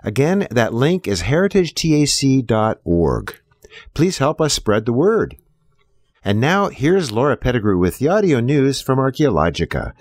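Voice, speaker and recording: A male announcer reads a scripted show message. His mid-range voice moves up and down in a lively, animated way. The recording is dry, with hardly any room sound. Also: medium pitch, unhurried, dry recording.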